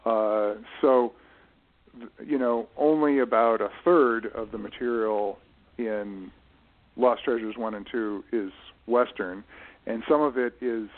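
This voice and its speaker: A man giving thoughtful, explanatory interview answers in a halting way, often restarting and correcting himself as he goes. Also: medium pitch, steady tone, narrow, tinny audio. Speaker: guest, writer managing his late father's literary estate. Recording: band-limited radio-style voice recording.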